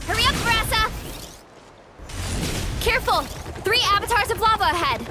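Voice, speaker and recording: High-voiced, earnest young game heroine. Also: female voice, lively intonation, moderate pace, heavy background noise. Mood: urgent warning. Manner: earnest, sincere. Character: earnest young heroine, brave but self-doubting girl fighter. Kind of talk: video game character acting. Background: game music.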